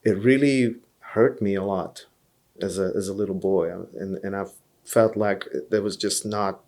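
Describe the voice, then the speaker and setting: A man's voice: low voice, fairly even intonation, unhurried, a little mellow. A man from Hungary with a Hungarian accent, telling his story as a podcast guest in an earnest way, often repeating words as he thinks. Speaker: podcast guest, blues musician. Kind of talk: relaxed interview storytelling.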